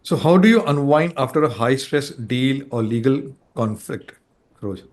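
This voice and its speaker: A man's voice: mid-range voice, animated, moderate pace. A man hosting an interview, giving short reactions and asking questions. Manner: brief reactions and prompting questions. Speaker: interview host.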